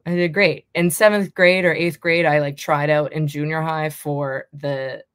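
A young woman singer-songwriter with a deep, slightly raspy speaking voice, talking casually in an interview and rambling with many restarts. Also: steady tone, measured pace, no room sound, clean studio sound. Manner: rambling, lots of likes and restarts.

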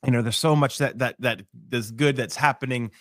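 A man's voice: mid-range voice, brisk, a bit bright, no echo, clean studio sound. A middle-aged man with a cold hosting a casual morning live stream, rambling with many restarts and fillers. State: congested with a cold.

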